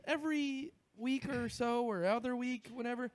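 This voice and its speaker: A man's voice: very high-pitched, moderate pace, slight background noise. A man from the US Northwest co-hosting a comedy podcast, talking loosely with lots of fillers and restarts. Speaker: podcast co-host. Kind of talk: casual podcast chat.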